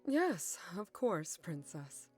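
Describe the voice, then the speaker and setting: Weary proud mother voiced by a woman, unhurried and expressive, fantasy audiodrama with music underneath. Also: on the low side, full character voice. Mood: kindly. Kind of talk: audiodrama acting.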